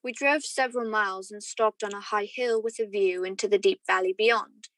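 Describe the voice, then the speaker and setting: A woman performs the reflective narrator of an old radio drama, a middle-aged spouse looking back. The telling is gentle, in a medium pitch, with a dry, close sound. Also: steady tone, moderate pace, no room sound, close to an everyday voice, poor audio.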